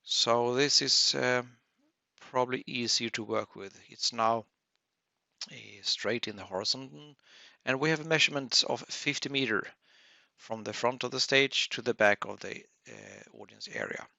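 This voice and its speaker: Male webinar instructor: very sharp, forward tone with gravelly fry, halting step-by-step explanations. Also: medium pitch, no room sound, highs cut off. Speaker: audio engineering instructor. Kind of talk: webinar software tutorial.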